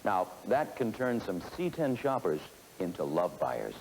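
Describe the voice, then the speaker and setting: A man giving scripted narration for a sales training film, in a measured, instructive manner, on a 1970s film soundtrack. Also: sits low, big pitch swings, darkish tone, slight background noise, rough recording.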